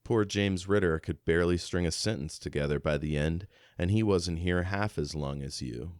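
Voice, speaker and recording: A man co-hosting a podcast, casually discussing a book and thinking aloud in a halting way. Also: sits low, clear, resonant tone, dry recording, clean studio sound. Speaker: podcast co-host.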